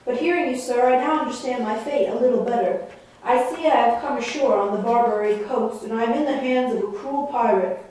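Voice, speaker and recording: A woman's voice: fairly high pitch, fairly quick, darkish tone, a little hiss or hum, natural voice. A woman playing a gallant, lovestruck young prince in florid, courtly stage dialogue. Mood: defiant. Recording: very echoey room, far from mic.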